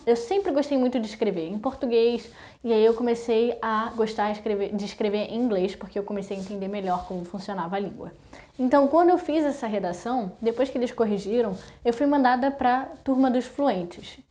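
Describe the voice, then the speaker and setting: Mellow-voiced young Brazilian woman vlogging. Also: fairly high pitch. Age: young adult. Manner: chatty, lists things in quick runs. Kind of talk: casual talk to camera.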